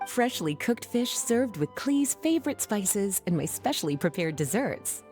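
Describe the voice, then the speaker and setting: A poised, teasing adult woman from a video game, with very lively intonation and a slightly mellow tone. She speaks languidly and articulately, coaxing as she explains, over faint music. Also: mid-range voice, a little slow. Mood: playful, gracious. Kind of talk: video game cutscene voice acting.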